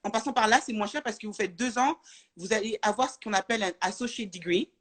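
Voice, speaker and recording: Woman, from France, interview guest, casual chat, hesitant, filler-heavy, mid pitch. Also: fast. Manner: hesitant, many fillers and restarts.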